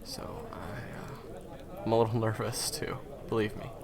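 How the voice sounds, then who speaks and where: A man playing a gentle, humble ex-hunter turned guide in an audio roleplay, speaking hesitantly and softly with frequent pauses. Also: lowish voice, very animated, vocal fry, crowd noise, exaggerated voice. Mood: shy, sincere. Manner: hesitant, soft-spoken, halting with pauses. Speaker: monster hunter's handler in a fan audio roleplay. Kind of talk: intimate conversational audio roleplay acting.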